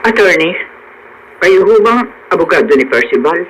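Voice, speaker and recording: Brief: aggrieved Filipino accomplice in radio drama, very high sharp voice, emphatic delivery. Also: male voice, very animated, moderate pace, faint music behind. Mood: earnest, nervous. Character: aggrieved accomplice demanding a share, then confessing. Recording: noisy radio drama broadcast.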